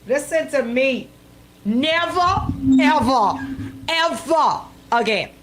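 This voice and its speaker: A woman's voice: high-pitched, expressive, takes it slowly, background noise. A woman bantering and telling stories as a radio co-host, exclamatory and given to emphatic repetition. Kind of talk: bantering radio chat and storytelling.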